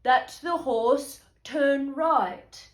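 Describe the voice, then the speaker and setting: A woman's voice: sits high, very slow, roomy sound. Woman playing scheming, bumbling storybook cattle thieves. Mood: confident, fooled.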